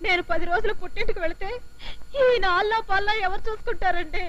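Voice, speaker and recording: Tearful meek young wife, very high voice, sobbing, radio play. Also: female voice, brisk.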